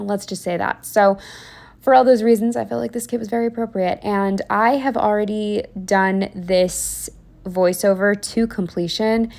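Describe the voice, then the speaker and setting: A woman's voice: mid-range voice, creaky. Young female vlogger, tired late-night voiceover, chatty and self-deprecating. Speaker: planner vlogger. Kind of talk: casual voiceover narration.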